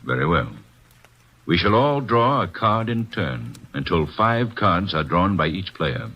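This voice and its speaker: An actor playing a formal, pompous club owner who hosts a card game, in a 1950s radio drama, heard on a dull lo-fi old radio recording. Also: male voice, sits low, very animated, measured pace, heavily acted. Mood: poised. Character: smooth, formal middle-aged club owner.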